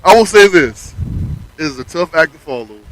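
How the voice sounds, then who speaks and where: A man's voice: a little high, animated, normal speed, faint music behind, poor audio. A man co-hosting a radio show, chatting casually with stammering restarts.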